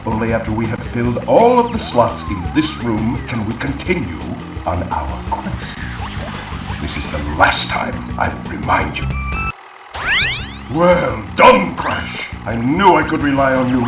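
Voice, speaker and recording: An actor playing a pompous, scheming mad scientist villain in a video game cutscene, grandiose and theatrical. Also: male voice, medium pitch, big pitch swings, measured pace. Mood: grandiose, urgent. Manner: theatrical, pompous, exasperated outbursts. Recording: roomy, distant, music underneath. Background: music.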